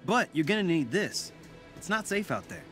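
A man's voice: high-pitched, sing-song, normal speed, over music. A man voicing a friendly, supportive night guard in a video game, talking in a casual, encouraging way.

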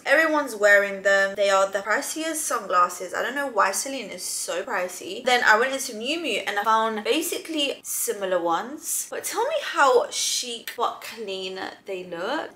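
A woman's voice: medium pitch, bright, forceful tone, a little hiss or hum. A young woman from Colombia chatting casually to the camera in a vlog, bubbly and laughing often, filmed at close range indoors at home. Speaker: vlogger. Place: bright home bedroom indoors.

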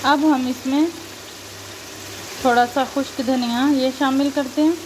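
Woman, cooking instruction voice-over, calm and explanatory, clear food sizzle behind. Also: high-pitched, measured pace, background noise. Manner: calm, instructive, points things out to viewer. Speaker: cooking channel host. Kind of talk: step-by-step recipe voice-over. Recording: loud frying sizzle behind voice.

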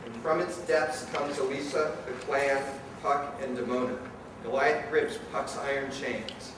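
A man's voice: mid-range voice, steady tone, unhurried. Male radio play narrator, very echoey hall. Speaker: narrator and director. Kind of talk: dramatic scripted narration of live radio play. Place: event hall before an audience. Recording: very echoey, distant, noisy live hall.

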